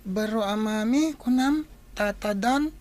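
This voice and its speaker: Woman playing a small boy, high-pitched, innocent and eager, for radio drama. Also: unhurried, a little mellow, lightly acted. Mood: puzzled, innocent.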